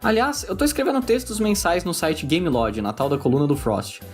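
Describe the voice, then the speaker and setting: Brazilian male essay narrator, rapid delivery, slightly high pitch, informal scripted read over faint music. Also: huge pitch swings.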